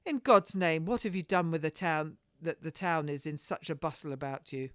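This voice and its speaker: A woman voicing a haughty, sharp-tongued mistress of a house in a period storybook, with indignant formal speech. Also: low voice, moderate pace, no room sound, studio quality, natural voice. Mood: haughty, angry.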